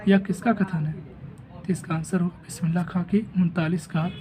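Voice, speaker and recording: A man with a sore throat and a rough voice, steadily reading exam questions and giving each answer and option like a teacher. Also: sits high, level tone, moderate pace, background noise. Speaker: teacher going over an exam answer key.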